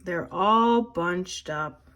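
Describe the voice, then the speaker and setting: A woman's voice: on the low side, extremely slow, a bit bright, dull audio. A woman voicing a frank, matter-of-fact male animal friend in a storybook, drawling emphatic remarks. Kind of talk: storybook character voice.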